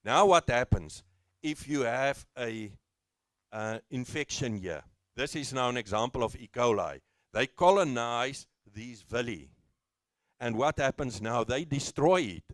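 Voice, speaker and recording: A man with a South African accent giving a live expo lesson on livestock health to farmers, explaining step by step and often restarting his phrases. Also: medium pitch. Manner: says he has a loud voice. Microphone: handheld mic, later speaks without it.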